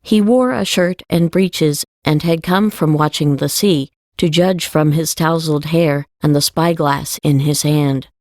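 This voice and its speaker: A woman's voice: low voice, measured pace, hardly any pauses, darkish tone, dry recording, studio quality. A woman reading scripted narration for a fantasy audiobook.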